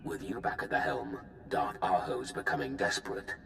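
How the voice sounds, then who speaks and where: An Imperial informant, guarded, very deep, formal, faint hum. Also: male voice, big pitch swings, normal speed, gravelly, fairly bright tone, reverberant, full character voice. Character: secretive male Imperial informant, calm and guarded. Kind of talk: game voice acting.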